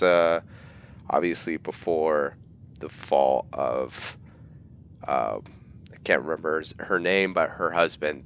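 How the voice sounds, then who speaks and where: A man giving casual video game commentary and reading in-game text aloud, halting and stumbling over words. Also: low voice, fairly bright tone. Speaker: video game let's player. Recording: dull lo-fi mic, light background noise.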